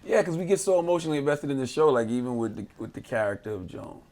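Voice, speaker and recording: A man interviewing guests, asking quick, eager questions. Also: medium pitch, animated, darkish tone. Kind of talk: casual interview.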